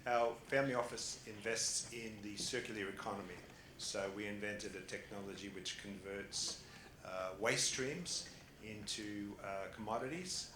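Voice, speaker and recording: A man's voice: on the low side, normal speed, background noise. A man with an Australian accent speaking on a conference panel, chatty and laughing, with many fillers and restarts. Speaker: panelist, family office founder. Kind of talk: casual panel talk. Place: conference hall. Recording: roomy hall with faint ambience.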